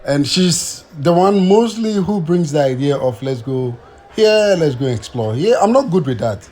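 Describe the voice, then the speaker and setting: A man's voice: a little high, lively intonation, unhurried, mellow. A man from Ghana chatting casually to his vlog audience outdoors, hesitant, with many fillers and restarts. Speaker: vlogger.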